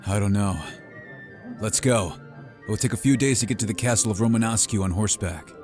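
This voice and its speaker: A man's voice: on the low side, a little fast, music in the background, natural voice. A man playing an expert, easygoing hunter who talks in a casual, matter-of-fact way in a fantasy audio roleplay. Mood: serious, briefing. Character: expert male hunter, easygoing, loyal, practical team partner. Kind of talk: scripted fantasy audio roleplay addressed to the listener.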